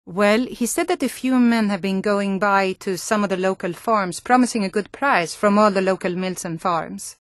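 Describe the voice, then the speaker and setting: A woman's voice: medium pitch, dry recording, clean studio sound, natural voice. A woman voicing a proud, blunt adult Nordic townswoman in a fantasy video game, with earnest, dramatic delivery. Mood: regretful. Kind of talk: scripted fantasy game dialogue.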